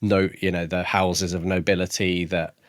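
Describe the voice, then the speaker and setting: A man's voice: low-pitched, little pitch movement, moderate pace, dry recording, studio quality. A man co-hosting a podcast, talking casually and musing his way tentatively through ideas. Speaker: book podcast co-host. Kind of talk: casual discussion.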